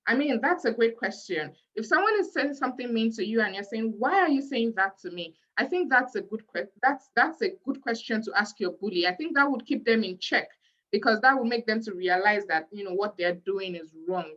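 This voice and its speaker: A woman's voice: on the high side, animated, brisk, a bit bright. A woman hosting an online youth session, thanking participants and reading out questions from the chat in a friendly way. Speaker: host. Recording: muffled video-call audio.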